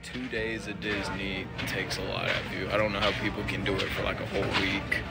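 Raspy, tired young guy vlogging. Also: low voice, flat delivery, brisk, loud music behind the voice. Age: young adult. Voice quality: raspy, worn-out voice. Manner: chatty, rambling, laughs often. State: exhausted. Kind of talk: casual vlog walkthrough. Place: outdoor theme park and shopping area at night, rainy. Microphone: phone held at arm's length.

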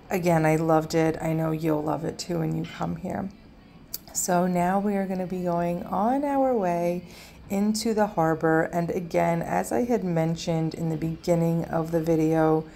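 A woman from the United States narrates her walking tour in a casual, rambling way, circling back to her points and filling pauses with "um" and "you know." Her voice sits a little low for a woman. Faint background noise runs underneath. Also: darkish tone. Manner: chatty, repeats words, many fillers. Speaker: travel vlogger.

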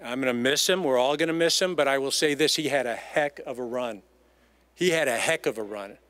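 A man's voice: medium pitch, fairly quick, a bit bright, dry recording. A middle-aged man giving a heartfelt memorial tribute, speaking emphatically and repeating phrases for weight. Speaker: eulogist. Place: outdoors in an empty baseball stadium. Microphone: microphone on a stand.

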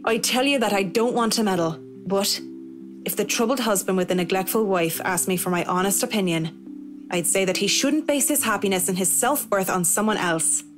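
A woman voicing a polite, reserved young professional in a visual novel game, speaking in a measured, businesslike way. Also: mid-range voice, natural voice.

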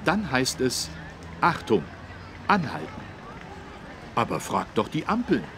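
A man's voice: medium pitch, huge pitch swings, slow pace, crowd noise. An actor playing a friendly policeman who patiently explains things to children in a kids' game. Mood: patient, instructive. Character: friendly adult male policeman explaining road safety. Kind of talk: scripted children's game dialogue. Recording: street traffic noise behind. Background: city street traffic.

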